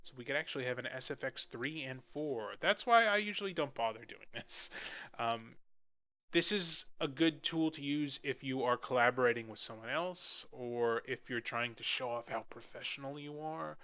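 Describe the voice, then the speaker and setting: Young male tutorial host, casual and self-deprecating, bright and clean tone. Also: medium pitch, moderate pace. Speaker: audio drama editor giving a tutorial.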